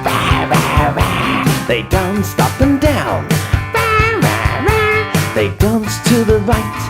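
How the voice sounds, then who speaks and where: A woman's voice: mid-range voice, slow. A woman singing a story ballad for children and leading them in call-and-response chanting. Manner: lively, leads children in chanting. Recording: loud guitar music behind. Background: guitar accompaniment.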